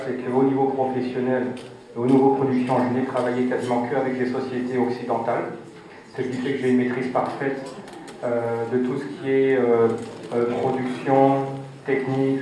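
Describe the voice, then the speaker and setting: A man's voice: medium pitch, a little hiss or hum. Man answering the press from afar. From Cameroon. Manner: steady, even delivery with frequent hesitations. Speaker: music producer. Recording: echoey hall from far off.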